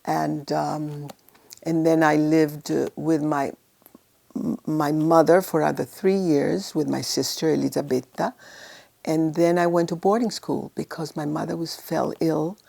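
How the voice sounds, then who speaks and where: A woman's voice: low voice, fairly even intonation, a little slow, creaky, mellow. A woman, recounting her life story in a casual interview, rambling along and laughing often. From Italy. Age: 80s. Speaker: actress interviewee.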